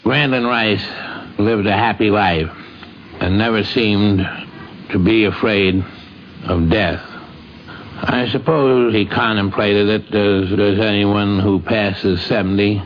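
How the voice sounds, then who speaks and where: A man giving a measured reminiscence as a guest on a 1950s radio program. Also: on the low side. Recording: dull, faintly noisy 1950s radio recording.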